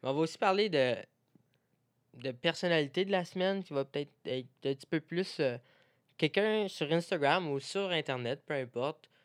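A man's voice: fairly high pitch, normal speed. Casual young man with French Canadian accent. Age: young adult. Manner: informal, conversational. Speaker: podcast co-host. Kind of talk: casual podcast chat.

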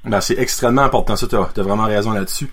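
A man's voice: lowish voice, very fast, fairly bright tone. A man with a Quebec French accent hosting a casual sports interview, chatty, with frequent fillers and laughs. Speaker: radio show host.

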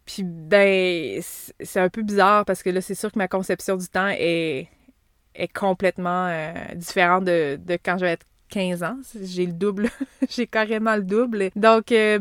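A woman in her thirties with a Québécois accent talks through her own story as a podcast host. She speaks chatty and loose, with frequent asides, fillers and restarts. Her voice sits at a middle pitch and is recorded close and dry. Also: normal speed, no echo. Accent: Québécois French. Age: 30s. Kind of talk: casual personal podcast monologue.

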